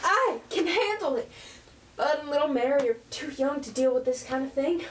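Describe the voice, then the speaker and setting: A high, youthful voice in a roomy, slightly distant recording. It is a headstrong teenage eldest sister in a radio drama, acted by a woman, bossy and protective of the younger ones. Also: moderate pace, natural voice. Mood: hurt, defiant. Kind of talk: radio play acting. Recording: roomy, distant mic, faint hiss.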